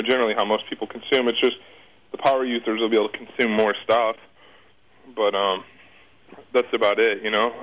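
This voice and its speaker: A man's voice: fairly low pitch, pushed and bright, rough recording. A man answering casually as an interview guest, rambling off the cuff, repeating words and trailing off.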